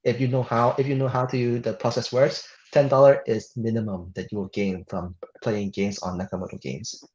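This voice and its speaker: A man's voice: mid-range voice, flat delivery, moderate pace, a little mellow. A man from Thailand speaking as an interview guest, earnest and often breaking off to restart words. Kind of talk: casual interview. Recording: roomy dull sound off the mic.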